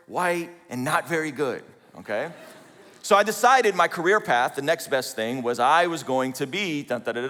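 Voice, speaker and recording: A man's voice: on the high side, sharp tone. A man in his forties from Azerbaijan, telling his life story with self-deprecating jokes as a conference speaker. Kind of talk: personal story talk to a conference audience. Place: large conference hall. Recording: long hall reverb.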